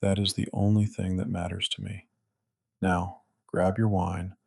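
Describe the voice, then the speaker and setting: A man acting a chivalrous romance-drama hero with a very gravelly, husky low voice. He speaks evenly and earnestly, reassuring and measured, in a protective way. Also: level tone, dry recording, clean studio sound, full character voice. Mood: sincere. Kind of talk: romance audio drama dialogue.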